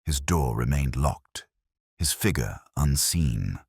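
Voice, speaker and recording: A man narrating a scripted mystery story in the first person, in a measured, solemn and dramatic manner. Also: rumbling low, expressive, creaky, a little mellow, breathy edge, no room sound, clean studio sound.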